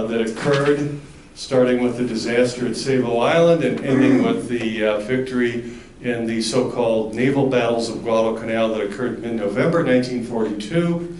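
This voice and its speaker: A man's voice: medium pitch, darkish tone. A man hosting a library history forum, introducing the speakers in a loose, joking way with many fillers. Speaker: forum host. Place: library meeting room. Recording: echoey room with faint noise.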